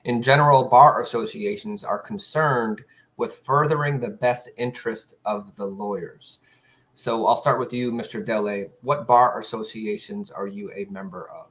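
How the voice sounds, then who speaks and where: A man moderating a virtual judicial candidate forum, asking questions in a polite, measured way with some fillers. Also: fairly low pitch, a bit bright, poor audio. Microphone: video call.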